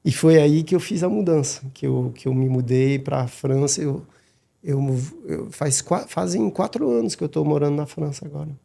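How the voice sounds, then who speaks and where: A middle-aged man, a guest on a podcast, talking casually and haltingly with many restarts and repeated words. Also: a little high, vocal fry, dark tone. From Madagascar. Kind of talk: casual interview talk.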